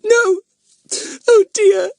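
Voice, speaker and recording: A man's voice: very high-pitched, steady tone, extremely slow, gravelly, no room sound, faint music behind, voice pushed up, exaggerated voice. A man voicing a tearful, plump young girl who cries and pleads. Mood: weeping. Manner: wailing, pleading. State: crying, sniffing.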